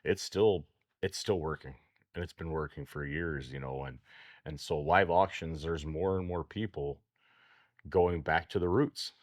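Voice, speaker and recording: A man's voice: extremely low pitch, a little slow, no room sound, clean studio sound. A man co-hosting a farm talk show, chatting folksily with repeated words. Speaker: co-host, auction company staff. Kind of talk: casual radio talk.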